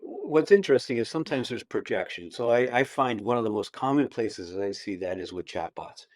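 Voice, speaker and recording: A man in his fifties hosting a podcast interview, talking in a wry, animated way and jumping up in pitch when he quotes clients. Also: fairly quick, dry recording, studio quality. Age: 50s. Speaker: podcast host. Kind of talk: conversational interview.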